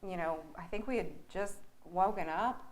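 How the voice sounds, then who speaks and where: A woman's voice: mid-range voice, moderate pace. A woman chatting casually as a theatre director on a panel, hesitant and thinking aloud. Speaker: theatre director being interviewed. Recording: faint background noise, local TV recording.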